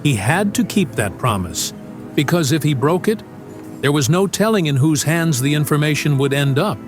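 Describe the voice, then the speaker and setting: A man's voice: fairly high pitch, expressive, moderate pace. A man delivering dramatic scripted narration as the narrator of an audio drama. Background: music.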